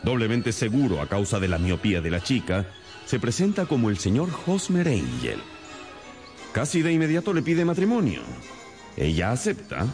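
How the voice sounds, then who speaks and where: An actor playing a shrewd, stern detective in a radio drama, speaking in a deliberate, theatrical, expository way. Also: male voice, medium pitch, very animated, a little mellow, soft background music, lightly acted. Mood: stern, accusing.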